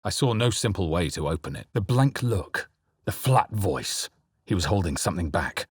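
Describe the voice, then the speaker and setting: A brooding fantasy adventurer for a video game. The voice sits very low with a husky grain, recorded dry and close. Delivery is measured, intense and theatrical. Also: male voice, lively intonation, no room sound. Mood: brooding, grave narration. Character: male fantasy adventurer hero, brooding, wary, resolute. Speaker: player character voice. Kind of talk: scripted video game voice lines, dramatic narration.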